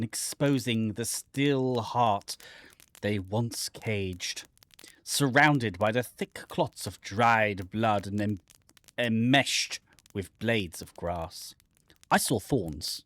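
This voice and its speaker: A man's voice: medium pitch, a bit bright, no echo. Theatrical man reading aloud, dry close sound. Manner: theatrical, playful, with dry muttered asides. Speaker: gaming channel host. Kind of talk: dramatic read-aloud of a game script with joking asides.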